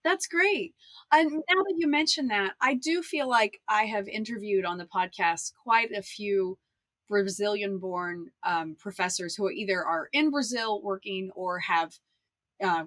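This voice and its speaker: A woman's voice: mid-range voice, a bit bright, dry recording. A woman hosting a podcast interview, upbeat and affirming as she wraps up with her guest. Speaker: podcast host.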